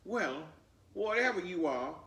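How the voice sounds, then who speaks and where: A man puts on a skeptical little girl from a children's storybook. The voice is pitched well up and swings freely. It is plain-spoken and unfazed, heard at a distance from the mic. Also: lively intonation, measured pace, bright, forceful tone, exaggerated voice. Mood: doubtful, defiant. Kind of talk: children's storybook read-aloud. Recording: roomy echo, distant mic.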